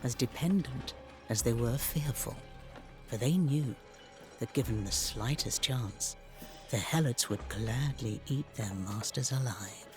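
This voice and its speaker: An actor playing a grave storyteller, narrating ancient history in a measured, literary style for a video game. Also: male voice, mid-range voice, over music, natural voice. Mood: dramatic gravity. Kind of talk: scripted dramatic narration.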